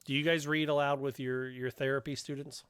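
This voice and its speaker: A man's voice: medium pitch, flat delivery, fairly quick, fairly bright tone, smooth, no room sound, clean studio sound. A man hosting a podcast discussion, moderating and talking through points with co-hosts. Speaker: podcast host.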